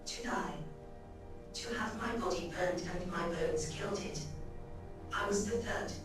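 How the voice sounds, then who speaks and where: An ancient mechanical woman with a grave, formal manner, speaks very slowly in a lowish voice. The sound is drenched in echo, like a solemn game character telling old history. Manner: measured, grave, formal storytelling. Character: ancient female machine being, solemn soul in gilded metal body. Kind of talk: scripted game character dialogue. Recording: very echoey, distant, music behind.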